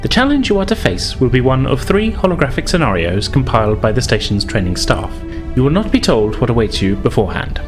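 A man's voice: mid-range voice, very animated, pitched above the performer's own voice, natural voice. Man playing a formal starship officer, music behind. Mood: formal, composed. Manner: formal, measured, authoritative wording. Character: formal starship superior officer briefing young ensigns.

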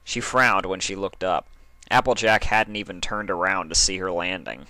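A man's voice: low-pitched, normal speed, bright, forceful tone, dry recording. A man reading scripted fiction aloud as the narrator of a story.

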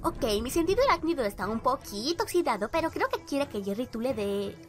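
A woman's voice: high voice, fairly quick. A woman voicing an eager, scatterbrained young spider girl in a Latin American Spanish cartoon dub. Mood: chirpy. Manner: excitable, bubbly, rambling. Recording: loud music behind the voice.

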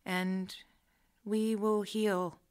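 An actress playing an earnest, devoted young daughter voicing a letter in a radio drama, tender and sincere. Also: female voice, medium pitch, level tone, very slow, clear, resonant tone. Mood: tender, hopeful.

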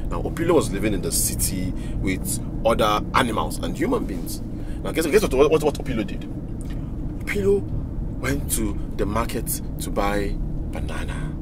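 A man's voice: on the low side, very animated, normal speed, background noise. An actor playing a father who tells his daughter funny animal stories, laughs a lot and hesitates over awkward questions. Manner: playful, chuckling storyteller, hesitant. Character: cheerful evasive father talking to his daughter. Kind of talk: acted drama scene. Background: music.

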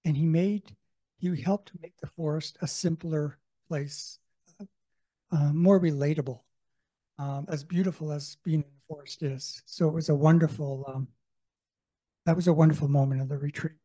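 A man's voice: on the high side, steady tone, moderate pace, mellow. A man from Canada sharing a story from the floor of a panel discussion in a conference hall, enthusiastic and anecdotal. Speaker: audience member. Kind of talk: comment from the floor.

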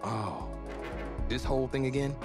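An actor playing a proud, stubborn young quarterback in a game cutscene. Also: male voice, mid-range voice, measured pace, over music, close to an everyday voice. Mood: bitter. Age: young adult. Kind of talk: naturalistic game cutscene acting.

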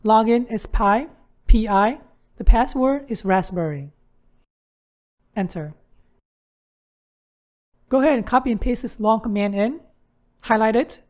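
A woman's voice: on the high side, a little slow, pauses often, a little mellow. A woman hosting a how-to tutorial, narrating instructions step by step. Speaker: tutorial host.

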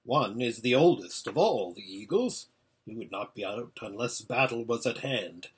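A man's voice: medium pitch, animated, moderate pace, slightly rough. A man voicing an impatient old hermit seer in a storybook, giving an anxious, exclamatory running report. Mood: watchful, grave. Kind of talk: storybook character voice.

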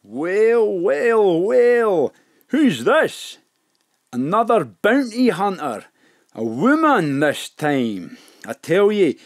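An actor in an audio drama playing a wary, cocky safecracker gangster with rough, slangy talk. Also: medium pitch, no echo, studio quality. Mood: sneering, suspicious.

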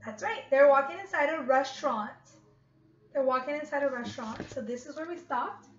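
Female primary-teacher type, high-pitched, reading to kids and repeating questions, muffled home recording with noise. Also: a little fast. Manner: repeats questions, addresses children as friends. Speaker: teacher reading aloud to young pupils. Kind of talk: children's read-aloud with questions to pupils. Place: living room at home, indoors. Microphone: webcam at about arm's length. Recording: dull webcam sound with clear noise.

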